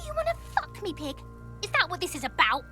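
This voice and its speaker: Piercing high foul-mouthed street brat, wildly swooping voice, jeering, game dialogue over music. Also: female voice, wildly swooping pitch, a little fast, bright, forceful tone, full character voice. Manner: shrill, jeering, swearing. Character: foul-mouthed taunting boy in a teen gang. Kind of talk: video game voice acting.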